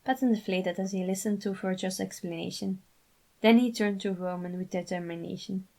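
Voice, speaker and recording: Creaky-voiced woman narrating a story. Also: mid-range voice, steady tone, moderate pace, a little mellow. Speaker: narrator and amateur author.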